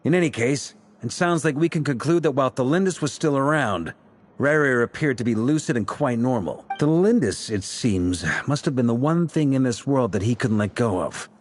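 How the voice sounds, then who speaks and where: A man playing a sly, composed commander in a video game, wry and measured as he directs his team. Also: mid-range voice, expressive, natural voice. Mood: musing.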